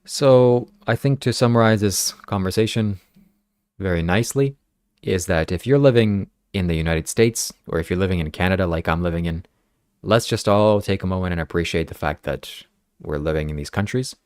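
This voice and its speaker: A man's voice: deep voice, moderate pace, darkish tone, smooth, dry recording, studio quality. A man whose first language is Ukrainian, hosting a casual podcast and earnestly reading viewer comments aloud. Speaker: podcast host. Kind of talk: casual livestream podcast chat.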